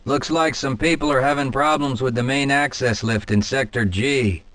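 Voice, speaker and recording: An actor playing a weary, easygoing security guard in a video game, speaking conversationally. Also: male voice, medium pitch, moderate pace, fairly bright tone, no echo, natural voice. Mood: casual. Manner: conversational, wearily apologetic.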